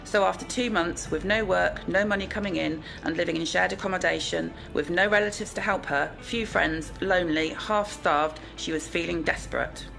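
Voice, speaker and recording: A woman playing a stern, quietly moralising police inspector, measured and matter-of-fact, in an amateur radio drama. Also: on the low side, creaky, soft background music, poor audio. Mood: accusing, measured.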